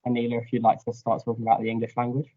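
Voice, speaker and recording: A man's voice: medium pitch, monotone. A teenage boy hosting a school vlog call, moving the talk along and handing over to guests. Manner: quick, gravelly, keeps things moving. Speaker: student host of the vlog. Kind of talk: casual video-call hosting. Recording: dull lo-fi laptop audio.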